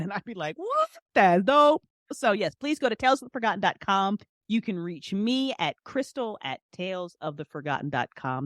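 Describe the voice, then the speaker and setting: A woman in her forties chatting candidly and informally as an interview guest, with expressive, slangy delivery. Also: low-pitched, dry recording, studio quality. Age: 40s. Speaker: podcast guest, audio drama creator and writer. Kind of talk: casual, candid interview chat.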